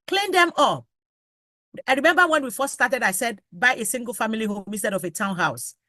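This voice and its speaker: A woman's voice: fairly high pitch, lively intonation, fairly quick, pushed and bright, no echo, clean studio sound. A woman, a pastor, advising on a panel talk show, listing examples one by one and urging listeners directly. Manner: lists examples in sequence, urges the listener directly.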